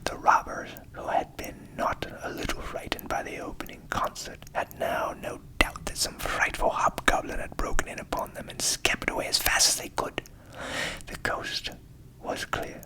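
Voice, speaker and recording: A man whispering a fairy tale softly and soothingly as an ASMR storyteller, with a breathy delivery and measured pauses. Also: rough recording.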